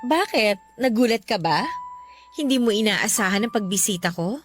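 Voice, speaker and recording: A woman's voice: medium pitch, animated, moderate pace, faint music behind, lightly acted. A woman acting a seductive, scheming younger sister in a radio drama, teasing and brazen as she taunts her brother-in-law. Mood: coy teasing.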